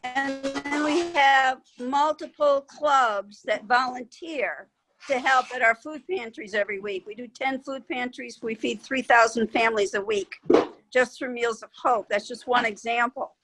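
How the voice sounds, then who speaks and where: Woman, fairly high voice, meeting participant, explanatory unscripted talk, video call. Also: a little slow, music in the background, rough recording.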